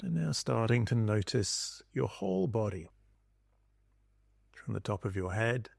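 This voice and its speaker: A man leading a guided body scan meditation as a teacher, speaking in calm, gentle instructions with long pauses. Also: lowish voice, creaky, no echo, studio quality.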